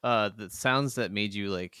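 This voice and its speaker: A man's voice: lowish voice, a little slow, bright, forceful tone, smooth, no room sound, studio quality. A man co-hosting a podcast, chatting casually about video games and often repeating short words of agreement. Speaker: podcast co-host.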